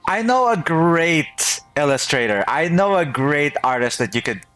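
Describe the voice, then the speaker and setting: An animated streamer reacting to a story game, with a pitch that swings widely. The delivery is unhurried, full of repeated, amazed exclamations, and game music plays underneath. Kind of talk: gameplay commentary, reacting.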